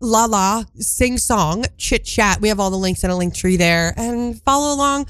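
A woman's voice: medium pitch, normal speed, fairly bright tone, clean tone, no echo. Congested woman co-hosting casual chat. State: congested, voice still recovering. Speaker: radio show co-host. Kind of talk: casual pop culture chit-chat.

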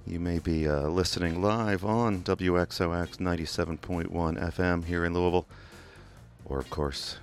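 A very deep-voiced man with a Kentucky accent hosts a community radio show from the studio. He talks in a loose, rambling way, full of "uh"s and restarts, like he is chatting between records. Faint music sits low behind his voice. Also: moderate pace. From England. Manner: relaxed, rambling, many "uh" fillers and restarts. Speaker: radio DJ host. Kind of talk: casual radio DJ talk. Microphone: studio microphone.

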